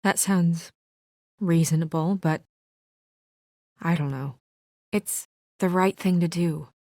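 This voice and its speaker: A young woman with a fairly low, serious voice, recorded dry and close. She is an earnest, composed official who speaks with measured restraint, in naturalistic video game dialogue. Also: vocal fry, darkish tone, no echo.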